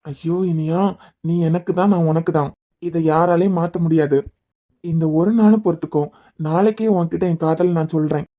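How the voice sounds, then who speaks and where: A man playing a young man secretly in love, speaking softly and poetically. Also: on the high side, fairly even intonation, moderate pace, lo-fi sound, lightly acted. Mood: soothing. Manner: soft, tender and poetic. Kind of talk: romantic audio drama acting.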